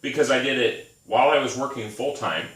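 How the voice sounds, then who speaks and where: Thirtysomething guy, bright voice, rambly casual chat, roomy echo, mic kinda far off. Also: medium pitch, brisk. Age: 30s. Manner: rambling storyteller, laughs often. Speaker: podcast co-host. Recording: echoey room, mic at a distance.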